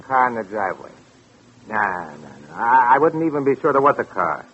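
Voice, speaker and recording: An actor playing a talkative, folksy city cab driver, informal and slangy, in 1940s radio drama style. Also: male voice, medium pitch, very animated, normal speed, a bit bright, husky. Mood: slangy, dismissive. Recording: muffled, noisy vintage radio recording.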